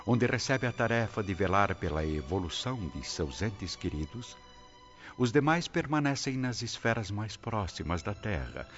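A man with a Brazilian accent narrating a radio drama in a solemn, scripted style. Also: sits low. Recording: dull lo-fi audio with music.

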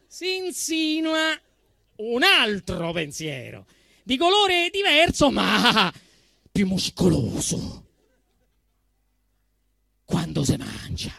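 A man with a Roman accent performing a satirical monologue on stage, emphatic and swinging between deadpan restraint and outrage. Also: sits high, vocal fry, no echo. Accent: Roman Italian. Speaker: actor performing a comic monologue. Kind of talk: theatrical satirical monologue to a live audience.

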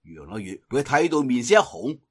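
A man's voice: medium pitch, sing-song, brisk, slightly rough. A man with a Cantonese accent telling a story in a dramatic traditional audiobook style.

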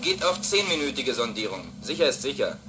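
A man's voice: medium pitch, sing-song, a little fast, close to an everyday voice. An actor playing a cautious male ground station radio operator in a radio play, speaking in a businesslike, procedural way. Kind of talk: German radio play acting. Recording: noisy radio link in drama.

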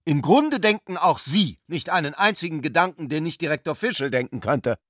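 Guy doing a scornful young student idealist, raised pitch, declaiming, radio drama, dry sound. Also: animated, fairly quick, fairly bright tone, dry recording. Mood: heated, combative. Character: haughty, combative young male student idealist.

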